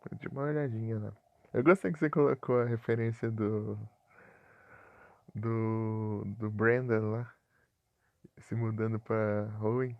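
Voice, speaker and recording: A man's voice: fairly low pitch, fairly even intonation, a little slow, pauses often, dry recording, lo-fi sound. A Brazilian man from Paraná streaming a game live, chatting casually with viewers and chuckling often.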